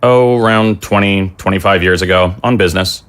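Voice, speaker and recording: An actor playing a measured, slightly weary middle-aged father in an audio drama. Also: sits low, a bit bright, smooth. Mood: reserved, reflective.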